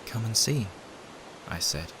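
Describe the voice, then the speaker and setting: Male narrator for dark audio fiction, deep register, somber and measured, heavy sound effects behind him. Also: lively intonation, background noise. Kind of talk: dramatic first-person story narration. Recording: loud engine and ambient sound effects. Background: background sound effects of ambience and machinery.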